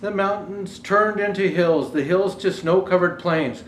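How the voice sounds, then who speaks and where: Santa Claus, played by a man: jolly old storybook reader, fairly high-pitched, chatty, faint noise behind. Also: measured pace. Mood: gentle storytelling. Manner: jovial ho-ho greetings, chatty asides. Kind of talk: children's storybook read-aloud. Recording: roomy echo, faint background noise.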